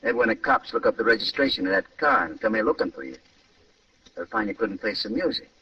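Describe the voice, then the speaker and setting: Husky, menacing radio gang boss. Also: male voice, on the low side, quick pace, sharp tone, highs cut off. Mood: cold menace. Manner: tough gangster talk with slangy grammar. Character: menacing male gang boss, coldly threatening. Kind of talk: old-time radio crime drama acting. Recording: distant, band-limited vintage radio recording.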